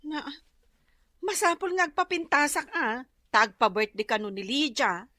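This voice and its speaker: A sharp, forceful, high voice of a bossy village wife played by a woman with an Ilocano accent. She chats and scolds emphatically in a comedy radio play, recorded close and dry. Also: big pitch swings, moderate pace, no echo, heavily acted. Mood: chatty.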